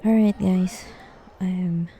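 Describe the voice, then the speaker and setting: A woman's voice: fairly low pitch, steady tone, slow, mellow, slight background noise. A woman chatting casually on a live game stream, rambling and thinking aloud in a hesitant way. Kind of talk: casual live stream chat while gaming.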